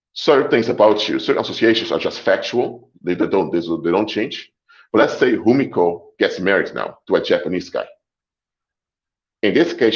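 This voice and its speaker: Man, very low voice, deliberate lecturing, repeats points, muffled lo-fi audio. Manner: deliberate and emphatic, restates points with short clinchers. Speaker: livestream host. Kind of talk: lecturing monologue to a live audience.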